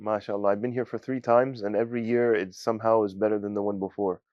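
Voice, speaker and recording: A young man from giving a student testimonial, talking haltingly with many fillers and Arabic phrases. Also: on the low side, fairly even intonation, no echo, highs cut off. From United States. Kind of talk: casual spoken testimonial.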